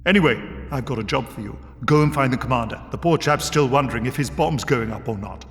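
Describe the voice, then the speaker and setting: A man voicing an eccentric, courteous British adventurer in an audiobook, breezy, wry and gently persuasive. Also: medium pitch, fast, long reverb tail, soft background music, natural voice. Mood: breezy, brisk. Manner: breezy, polite, wry British turns of phrase. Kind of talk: dramatised audiobook reading.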